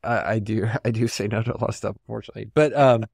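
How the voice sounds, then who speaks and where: A man's voice: fairly low pitch, steady tone, rapid-fire, gravelly, a little mellow, dry recording, studio quality. A man from San Antonio, a podcast guest talking reflectively in a casual, rambling way with lots of "like.". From San Antonio, Texas.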